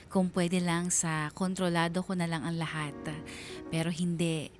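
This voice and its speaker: A woman voices a wistful young daughter telling her story as radio drama narration. Her Filipino-accented voice is fairly low, reflective and earnest, over soft music. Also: little pitch movement, unhurried, natural voice. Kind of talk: scripted first-person radio drama narration. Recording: music bed under the voice.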